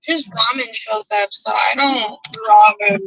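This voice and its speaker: A woman's voice: a little high, fairly even intonation, unhurried, creaky, a bit bright, dry recording, rough recording. A woman in casual live stream banter, needling and sarcastic, doubting everything she hears.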